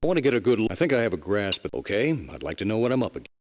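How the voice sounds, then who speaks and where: A man reading game choices aloud as a submarine captain, quick and stumbling over words. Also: lowish voice, big pitch swings, muffled recording, a little hiss or hum. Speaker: streamer playing the captain.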